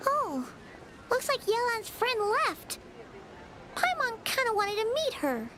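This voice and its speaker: Squeaky, very high childlike fairy companion. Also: female voice, takes it slowly, slight background noise, heavily acted. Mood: mildly disappointed. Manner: excitable, refers to self in third person. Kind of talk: video game voice acting. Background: game music.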